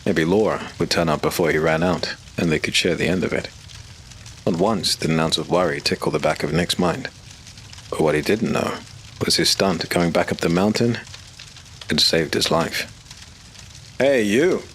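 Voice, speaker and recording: A man narrating a dark fantasy audio drama in a measured, storytelling way. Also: rumbling low, slight background noise, low-quality recording.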